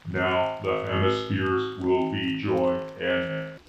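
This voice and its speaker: A man voicing a calm, solemn robot soldier in slow, measured pronouncements, with a processed, echoing voice. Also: deep voice, pitched below the performer's own voice. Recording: dull, echoing, with loud music.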